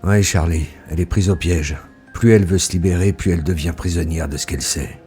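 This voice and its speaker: A man playing a brooding, barely human narrator, speaking in a slow, pensive and introspective way in a scripted audio drama. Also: low-pitched, mellow, faint music behind. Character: brooding male being, human only for seven seconds.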